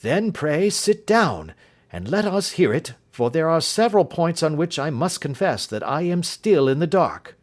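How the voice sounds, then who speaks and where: Man voicing a brisk male detective, commanding, crisp, clipped, lively pitch, audiobook reading. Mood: keen. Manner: crisp, urgent and decisive.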